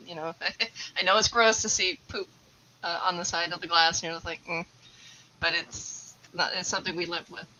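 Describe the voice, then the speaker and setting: A woman's voice: lowish voice, steady tone, moderate pace, pushed and bright, slight background noise, poor audio. A woman whose first language is English, a tarantula keeper chatting casually as a guest on a live stream and explaining things at length. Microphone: video call.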